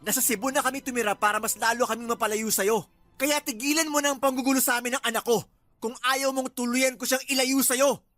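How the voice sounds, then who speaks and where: A stern parent at the end of their patience in a radio drama. The voice is high and tense, scolding forcefully. Soft music sits behind it. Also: steady tone, fast. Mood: angry, threatening. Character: stern, fed-up parent warning off a troublemaker. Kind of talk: Tagalog radio drama acting.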